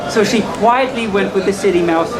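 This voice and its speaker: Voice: medium pitch, a little fast. A storyteller reading children's bedtime tales live in a playful manner, with asides and the odd stumble. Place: large indoor room at a convention. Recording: loud crowd noise in a public room.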